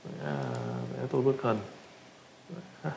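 A man with a Southern Vietnamese accent giving a casual software tutorial, often repeating short phrases as he demonstrates. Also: medium pitch, slow pace, gravelly. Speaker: tutorial instructor. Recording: roomy echo with background noise.

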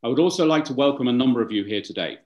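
A man's voice: mid-range voice, lively intonation, racing, darkish tone, dull audio. A man moderating a webinar on a video call, giving formal welcomes and introductions, speaking with some fillers and restarts.

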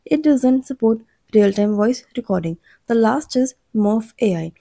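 A woman reads a scripted explainer as a channel narrator, in a measured way that sets out lists item by item. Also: fairly high pitch, animated, vocal fry, dark tone, no echo, studio quality.

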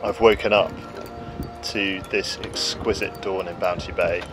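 A man's voice: deep voice, fairly even intonation, measured pace, loud music behind the voice. A man talking casually about his days at sea while sailing a small boat solo, chatty and upbeat with some hesitations. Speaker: solo sailor vlogger. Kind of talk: casual vlog narration. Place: aboard a small sailboat.

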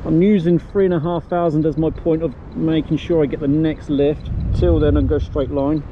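A man's voice: fairly high pitch, little pitch movement, moderate pace. A man giving a running commentary as he pilots a paraglider outdoors, thinking aloud in short, clipped phrases. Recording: noisy muffled outdoor action-camera audio, wind.

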